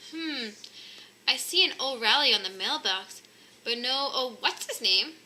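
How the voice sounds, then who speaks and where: A woman's voice: high voice, lively intonation, unhurried, bright, forceful tone, slight background noise, full character voice, low-quality recording. A woman voicing an eager, forgetful young bear boy in a children's storybook. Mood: hesitant, eager. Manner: eager, hesitant searching for words.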